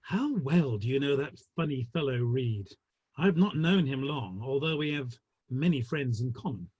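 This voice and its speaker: A dry, arch gentleman of the Victorian theatre world played by a man, husky and animated in delivery. He gossips with urbane relish in a dramatic play reading, heard through dull, roomy online audio. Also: medium pitch, moderate pace. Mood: dry, curious.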